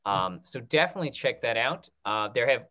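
A man's voice: medium pitch, lively intonation, a little fast, pushed and bright. A man in his thirties informally making announcements as host on a video call, encouraging and a little hesitant. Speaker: instructor hosting a theatre talkback. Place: indoor room on a video call. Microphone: computer microphone on video call.

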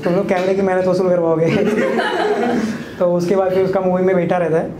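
A man's voice: sits high, little pitch movement, brisk, very noisy. A young male stand-up comedian telling a crude, casual story and checking in with the crowd. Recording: echoey club room, loud crowd laughter. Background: audience laughing.

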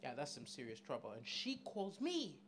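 A middle-aged man from southern Africa works a small comedy club, talking into a handheld mic. His voice sits unusually high for a man, and he keeps things chatty and animated, throwing questions out to the crowd between jokes. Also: normal speed, a little hiss or hum. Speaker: stand-up comedian. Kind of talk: stand-up comedy set with crowd work.

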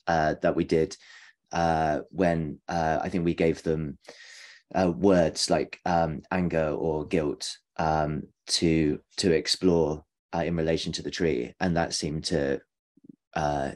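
A man in his thirties speaking casually and hesitantly as he reflects during a video call. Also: very deep voice. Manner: halting, hesitant. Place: home kitchen indoors.

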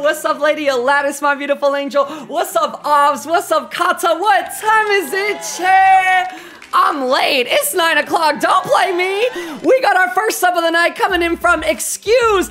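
Male streamer, twenties, high voice, hyped and campy, effusive casual chat over light music. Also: sharp tone. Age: 20s. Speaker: livestreamer. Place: small bedroom streaming setup, indoors.

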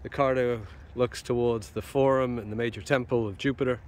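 A man speaks in a medium-pitched voice, giving an off-the-cuff tour as he walks. His delivery is matter-of-fact and comes in short, halting phrases. It is recorded outdoors on a handheld device, with clear ambient noise behind him. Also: fairly even intonation. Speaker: travel vlogger. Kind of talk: impromptu walking-tour commentary. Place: outdoors at open seaside ruins.

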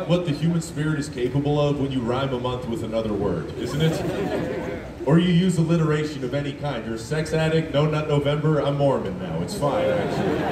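A deep-voiced man in his thirties works the crowd in a deadpan, self-mocking stand-up set. His voice booms through festival speakers and reaches the phone from far back, with echo and a loud, laughing crowd around it. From Canada (Alberta). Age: 30s. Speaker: stand-up comedian. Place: outdoor festival stage in a city park at night. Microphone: PA speakers recorded by a phone in the crowd. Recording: echoey amplified sound from far off. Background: laughing, cheering crowd.

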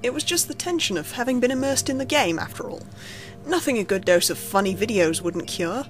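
A woman reading a scripted first-person horror story in a measured, suspenseful storytelling manner. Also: a little high, lively intonation. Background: background music under the narration.

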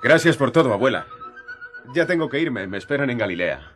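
A man's voice: mid-range voice, fairly quick, faint music behind. An actor playing an earnest, passionate young wandering Galilean man in a radio drama. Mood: friendly. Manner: earnest, expressive.

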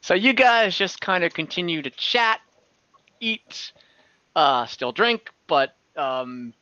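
A man running an online tabletop fantasy game as game master, narrating off the cuff in halting fragments. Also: high voice, sing-song, bright, forceful tone, clear, resonant tone, dry recording. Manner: halting, pieces scenes together in fragments. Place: indoors at home, on a group video call. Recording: slightly dull video-call audio.

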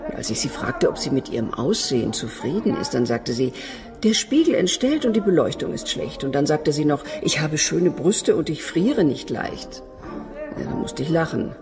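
A woman's voice: sits low, big pitch swings, normal speed, music in the background. A woman playing a worldly, wry, self-assured model agency boss in a German radio play, chatty and ironic. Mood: amused recounting.

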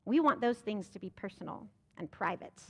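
A woman's voice: mid-range voice, lively intonation, moderate pace, creaky, fairly bright tone, in a large hall. A young American woman pastor preaching a sermon from the pulpit of a church sanctuary, asking rhetorical questions and building to emphatic, rising lines. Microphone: pulpit microphone.

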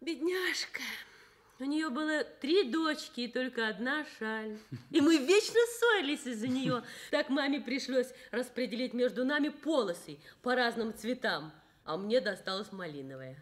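An actress playing a warm, earnest young nurse in a Soviet radio drama, speaking with tender insistence. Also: female voice, high voice, animated, normal speed. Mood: fond, amused.

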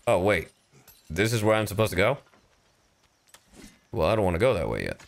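A man's voice: low voice, fairly quick, gravelly. A man doing live gameplay commentary as a streamer, playful and freely swearing. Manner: animated, playful, profane. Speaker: VTuber game streamer.

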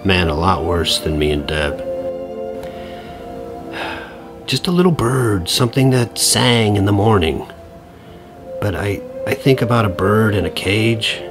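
An actor playing a middle-aged divorcing suburban dad in a podcast drama, profane, sardonic and rambling. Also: male voice, on the low side. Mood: wistful, rueful. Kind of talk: podcast audio drama acting.

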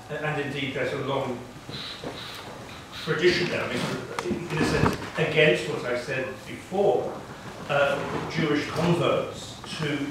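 A man with a middle-pitched voice responds in an academic panel discussion. He sounds distant, with strong room echo and clear background noise.

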